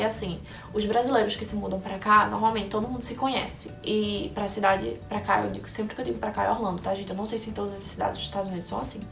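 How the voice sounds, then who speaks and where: A woman's voice: medium pitch, creaky. A young woman from Brazil chatting casually to camera, answering viewers' questions and thinking aloud with frequent restarts. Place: indoor room in Orlando under bright lights. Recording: echoey room, voice a bit far from the mic, faint music behind.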